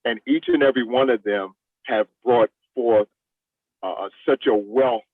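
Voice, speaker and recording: A man being interviewed as a play director, answering in a halting way, repeating words as he searches for the next one. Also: mid-range voice. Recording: roomy, thin radio-like lo-fi sound.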